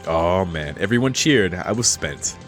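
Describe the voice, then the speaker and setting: A man with a low voice talks over a game with music playing behind him. He commentates informally as he plays a visual novel, reading aloud and reacting with amusement in short exclamations. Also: expressive, measured pace. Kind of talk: casual let's play commentary with read-aloud passages.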